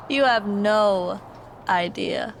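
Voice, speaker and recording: A secretive female attacker in a video game. She taunts with extremely slow, creaky delivery over faint noise. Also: mid-range voice, a bit bright, full character voice. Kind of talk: video game cutscene acting.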